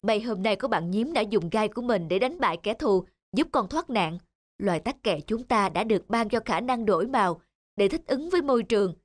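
A woman voicing a wise, caring mother chameleon in an animated fairy tale, soothing and moralizing. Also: medium pitch, a little fast, lightly acted. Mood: gentle, admonishing. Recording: music under the voice.

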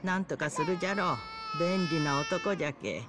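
A woman's voice: lowish voice, normal speed, noisy background, close to an everyday voice. An actress playing a curt, folksy old woman shopkeeper with a Hiroshima accent in a video game. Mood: dry, nosy. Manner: brusque, rustic.

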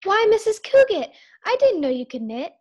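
Woman playing high-voiced naive housemaid. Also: big pitch swings, moderate pace, muffled recording, music in the background. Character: naive, wry, loyal housemaid Katie. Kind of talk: 1940s radio sitcom acting.

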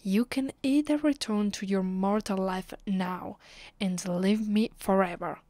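An Italian woman voicing a haughty, possessive vampire mistress in an ASMR roleplay. She speaks English with an Italian accent in a soft, breathy, often half-whispered voice. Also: medium pitch, measured pace, dry recording, close to an everyday voice. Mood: solemn, possessive. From Italy. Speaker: voice actress. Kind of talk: ASMR roleplay, intimate scripted monologue.

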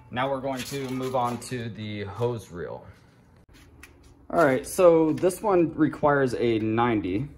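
A man vlogging casually and informally, walking viewers through a hands-on DIY project. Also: on the low side. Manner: informal, addressing viewers as guys. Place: home garage workshop. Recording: handheld recording with clear background noise.

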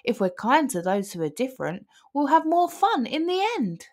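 A woman narrating a children's picture book aloud with an expressive, singsong delivery. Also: high-pitched, normal speed.

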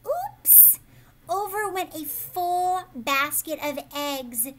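High-voiced American woman reading to children. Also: very slow. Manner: animated, prompting listeners with questions. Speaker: teacher reading a picture book aloud to children. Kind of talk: expressive children's read-aloud with asides to the listener. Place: living room at home, indoors.

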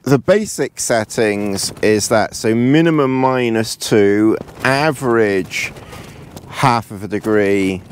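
A man's voice: on the low side, takes it slowly, slight background noise. Chatty man in a tent. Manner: chatty, rambling, repeats words, chuckles. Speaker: outdoor gear vlogger. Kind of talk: casual gear review. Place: inside a small tent, lying in a sleeping bag.

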